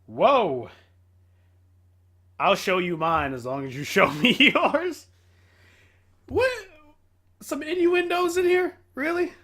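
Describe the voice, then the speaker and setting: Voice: medium pitch, takes it slowly, long pauses, a bit bright. A reaction video host giving casual, slangy, exclamatory commentary.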